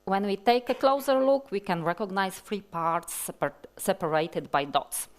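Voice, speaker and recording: A woman in her forties with a Polish accent, giving a technical conference talk from a large hall stage, speaking slowly and deliberately with many fillers and restarts. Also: medium pitch.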